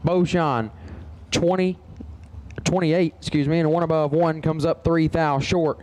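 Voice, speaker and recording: A man's voice: on the high side, fairly even intonation, measured pace, darkish tone. A man working as a drag racing announcer, calling matchups and results in terse, clipped phrases. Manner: terse, clipped number-heavy delivery. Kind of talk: race commentary with clipped results calls.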